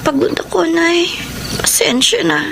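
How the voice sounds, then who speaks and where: A woman's voice: extremely high pitch, measured pace, creaky, background noise, exaggerated voice. A woman acting a weary, self-sacrificing eldest daughter in an emotional, earnest audio drama. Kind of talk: dramatic Tagalog radio-style drama acting.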